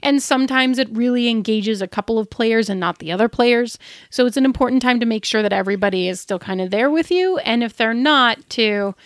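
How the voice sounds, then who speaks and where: A woman's voice: fairly high pitch, brisk, no echo, studio quality. A woman co-hosting a podcast, giving advice in a casual, chatty, conversational way. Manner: informal, chatty. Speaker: podcast co-host.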